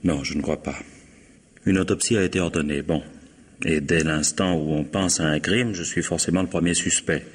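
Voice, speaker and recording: A man's voice: very deep voice, steady tone, normal speed, breathy edge. A man playing a composed, resigned employer under suspicion, polite, reasoning and wry, in a vintage French radio mystery.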